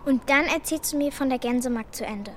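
A woman's voice: sits high, a little fast, slight background noise, close to an everyday voice. A female performer playing a bold, stubborn, cheeky orphan girl in a children's radio play, blunt and bossy. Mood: bossy, picky. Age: child figure.

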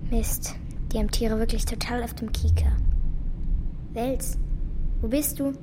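A woman's voice: fairly high pitch, steady tone, noisy background, lightly acted. A woman playing a resourceful, anxious girl in a children's radio drama, urgent and pleading. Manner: urgent, pleading, quick-witted. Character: resourceful, anxious girl devoted to her dog. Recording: music behind the voice.

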